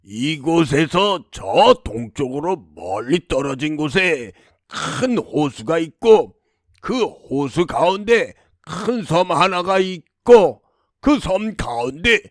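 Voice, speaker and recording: Storybook villain: domineering man-eating demon king, lordly and commanding, unhurried, close dry sound male narrator acting it out. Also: fairly high pitch, a bit bright, dry recording, full character voice. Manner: commanding, lordly old-fashioned speech.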